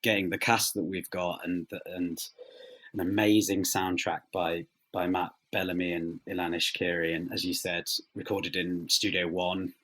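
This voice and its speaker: A man's voice: low-pitched, little pitch movement, moderate pace, fairly bright tone. A man speaking conversationally as an interview guest, modest and self-effacing. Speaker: interview guest, audio drama writer.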